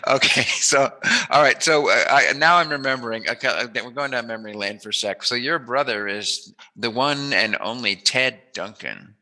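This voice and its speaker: A man's voice: mid-range voice, moderate pace, bright, forceful tone, rough recording. A middle-aged man chatting casually as an interviewer, telling memories in a jokey, emphatic way. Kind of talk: casual reminiscing interview chat.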